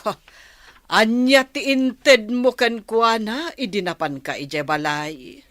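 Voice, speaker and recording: A woman's voice: on the high side, takes it slowly, a bit bright, dry recording. A woman with an Ilocano accent playing an irritable, scheming village wife in a comedy radio drama. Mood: accusing.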